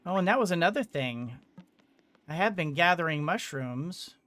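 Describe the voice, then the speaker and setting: A gamer giving casual commentary on a video game in a halting way, thinking aloud and repeating words. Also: medium pitch, lively intonation, a bit bright, no room sound. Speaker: gamer, let's-play host. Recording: clearly noisy background.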